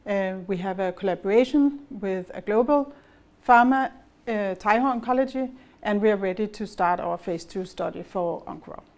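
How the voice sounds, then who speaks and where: A middle-aged woman from Denmark with a Danish accent, giving a measured, explanatory company presentation at an investor conference. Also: mid-range voice, a little mellow. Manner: measured and explanatory, with occasional fillers and restarts.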